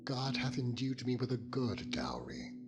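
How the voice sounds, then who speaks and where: Guy reading as proud resentful bible wife, emphatic, pitched up and swooping, loud music behind. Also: big pitch swings, measured pace. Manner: emphatic, declamatory, archaic phrasing. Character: Leah, proud, resentful ancient wife and mother. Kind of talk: dramatized scripture reading.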